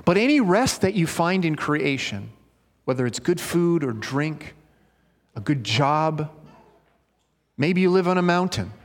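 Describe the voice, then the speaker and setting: Guy preaching, kinda higher voice, chatty and earnest, big echoey sanctuary. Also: big pitch swings, unhurried, pauses often. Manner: earnest, conversational preaching with scripture reading. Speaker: pastor. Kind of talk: sermon. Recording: long hall reverb.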